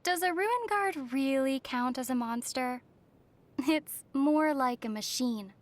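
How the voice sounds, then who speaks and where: A woman playing a gentle young female adventurer in a video game, speaking in a soft, composed, dryly playful way. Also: sits high, slow. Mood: dry, warm.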